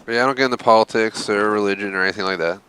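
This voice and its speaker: A man's voice: fairly low pitch, rapid-fire, sharp tone, slight background noise, poor audio. A man hosting a live game stream, chatting casually and rambling with lots of fillers. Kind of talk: casual live-stream chat while gaming.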